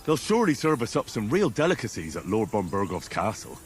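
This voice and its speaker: An actor playing a cocky young squire of noble birth in a game, with a cheeky, needling manner. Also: male voice, lowish voice, sing-song, fairly quick, a little hiss or hum. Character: cocky young male bastard-born squire, sharp-tongued. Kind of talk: video game cutscene acting.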